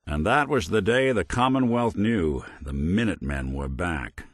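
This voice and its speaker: A man's voice: sits low, lively intonation, unhurried, no room sound. A man voicing a world-weary, middle-aged robot private detective in a video game, with dry, sardonic noir delivery. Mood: wry, world-weary. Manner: dry, sardonic, wry asides. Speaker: companion character in a video game.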